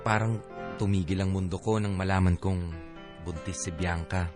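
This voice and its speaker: Actor as low voiced guy telling his sad love story, radio drama, soft music behind. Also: steady tone, normal speed, close to an everyday voice. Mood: heartbroken. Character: heartbroken adult man recounting his life story. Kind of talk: dramatic first-person radio narration.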